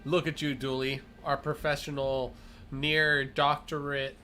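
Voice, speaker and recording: A man's voice: a little high, flat delivery, bright, forceful tone, soft background music. A young man chatting casually as a streamer, playful and jokey, who grew up speaking Spanish. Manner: playful, jokey, laughs a lot, quick bursts. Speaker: streamer host. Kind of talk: casual chat.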